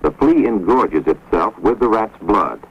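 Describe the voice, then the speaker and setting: A man giving measured, grave scripted narration for an instructional film, recorded on a dull, lo-fi 1950s film soundtrack. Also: on the low side, lively intonation, slightly rough. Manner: measured, grave, authoritative delivery.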